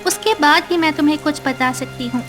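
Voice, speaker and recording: High, earnest young mermaid voiced by a woman. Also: brisk, fairly bright tone, soft background music. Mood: earnest, defensive. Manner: earnest, pleading, sweet-voiced. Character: gentle young female mermaid, earnest and wronged. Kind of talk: dramatised Hindi cartoon story dialogue.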